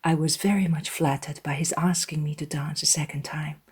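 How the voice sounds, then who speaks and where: A woman voicing a gentle, modest young gentlewoman, soft-spoken and earnest, in a dramatized audiobook. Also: low voice, steady tone, fairly quick, husky.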